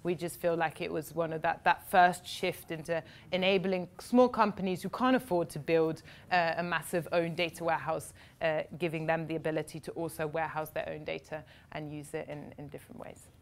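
A young woman speaking at a meetup, answering audience questions in fluent, quick explanations sprinkled with "um" and "like". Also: deep voice, steady tone. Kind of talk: live Q&A at a tech meetup. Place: meeting room with seated audience, indoors.

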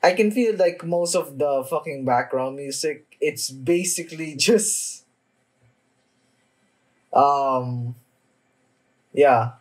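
A young man giving a casual, sweary reaction in a halting, informal way, sitting in a room at home. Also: a little high, poor audio. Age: young adult. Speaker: reaction video host. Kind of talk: casual anime reaction chat. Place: small indoor room at home.

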